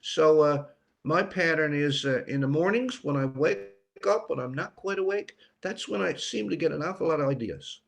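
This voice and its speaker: Older man, musing and halting. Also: on the high side, vocal fry. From Newfoundland, Canada. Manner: musing, pausing, repeats words for emphasis. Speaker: writer being interviewed. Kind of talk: reflective interview conversation.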